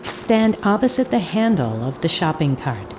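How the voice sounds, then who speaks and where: A woman playing an eerie audio tour guide in an audio drama, giving deliberate instructions with hypnotic repetition. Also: low-pitched, big pitch swings, background noise. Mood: calm, commanding. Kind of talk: scripted audio drama narration. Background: ambient field recording and foley sounds.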